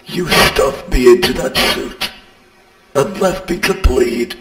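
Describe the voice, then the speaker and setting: Man voicing a slow, echoing vengeful animatronic monster. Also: mid-range voice, a bit bright. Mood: cold, accusing. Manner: slow, menacing, drawn-out delivery. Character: vengeful haunted animatronic creature, menacing and bitter. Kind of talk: horror game character voice lines. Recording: echoey long reverb, distant, music behind.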